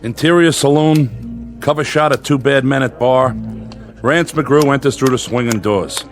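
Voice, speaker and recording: An actor playing an exasperated, placating TV director, weary and dryly sarcastic. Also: male voice, medium pitch, unhurried, faint music behind, close to an everyday voice.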